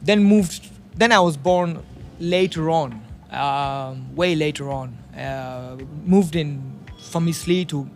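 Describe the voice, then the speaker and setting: A man's voice: on the high side, slow pace, gravelly, soft background music. A man from Nairobi with a Kenyan accent, chatting as an interview guest in a laid-back, reflective, slangy way. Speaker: guest, street artist. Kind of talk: casual reflective chat.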